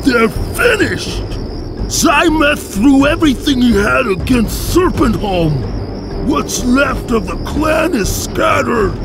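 An agitated battlefield scout in a video game. The pitch is extremely high and the delivery urgent but measured, with music behind. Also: male voice, animated, gravelly, heavily acted. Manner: urgent, declamatory. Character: urgent high-voiced clan messenger reporting from war. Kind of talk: video game cutscene acting.

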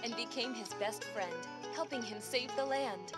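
A woman's voice: a little high, normal speed, close to an everyday voice. A woman reading a scripted storybook prologue as narrator of a fairy-tale video game. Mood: gentle storytelling. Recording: plucked-string music loudly behind narration.

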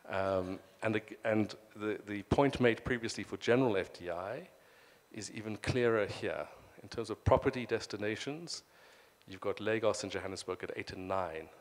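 A man's voice: fairly low pitch, moderate pace. A man moderating a conference panel, speaking hesitantly with many fillers into a handheld mic. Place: conference stage. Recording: long hall reverb.

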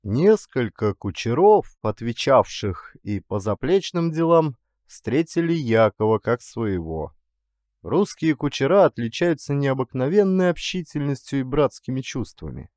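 A man narrating a literary story as an audiobook actor, with deliberate, dramatic phrasing and weighty pauses. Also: mid-range voice, very animated, a little mellow, no echo, clean studio sound.

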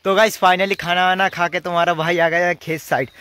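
Higher-pitched young man vlogging outdoors. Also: little pitch movement, a little fast, pushed and bright, smooth. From rural India. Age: young adult. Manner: informal, addresses viewers as brothers. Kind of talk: casual vlog chat to camera. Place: outdoors in village fields and tented cricket ground. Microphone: phone at arm's length. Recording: noisy outdoor phone recording.

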